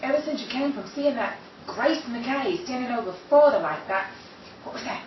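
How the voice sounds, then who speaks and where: Woman performer as scared young woman in horror audio play, highish voice, anxious self-talk, noisy room. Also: lively intonation, moderate pace, lightly acted. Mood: fearful. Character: frightened young woman alone in the dark. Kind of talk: audio horror drama acting. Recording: echoey, distant, muffled, noisy room recording.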